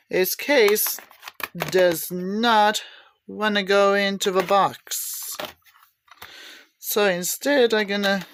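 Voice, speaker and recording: A woman giving a casual, unscripted show-and-tell with halting, rambling delivery. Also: medium pitch, slight background noise. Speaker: video maker showing cassette tapes.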